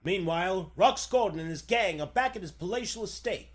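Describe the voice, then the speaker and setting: A man's voice: high voice, animated, brisk. A man narrating in the dramatic announcer style of a 1940s radio serial.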